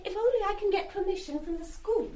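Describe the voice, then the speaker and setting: An actress playing a chatty, gushing young wife in a radio play. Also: female voice, extremely high pitch, quick pace, mellow. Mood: polite, bright.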